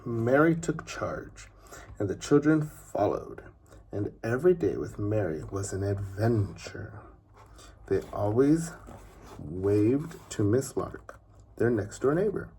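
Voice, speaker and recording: A man narrating a children's story expressively, with deliberate phrasing and dramatic pauses. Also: fairly low pitch, very animated, mellow, a little hiss or hum.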